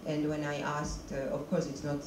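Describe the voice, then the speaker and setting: A woman's voice: deep voice, monotone, normal speed. A middle-aged woman, a documentary filmmaker, answers questions on stage with a handheld mic, stopping often to search for words in English. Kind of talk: stage Q&A interview. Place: stage of a screening hall, seated. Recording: echoey hall, noisy, mic from a distance.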